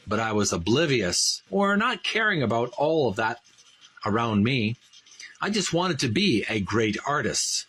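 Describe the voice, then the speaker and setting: A man hosting a video channel, reading from a book and adding casual commentary in an earnest, deliberate way. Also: fairly high pitch, fairly bright tone, slightly rough, rough recording.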